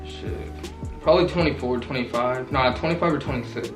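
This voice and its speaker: A man's voice: medium pitch, brisk. Laid-back nineteen-year-old guy, echoey room. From Southern California. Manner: laid-back slangy rambling. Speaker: vlogger. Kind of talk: casual couple Q&A chat. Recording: roomy echo, mic far off, music behind.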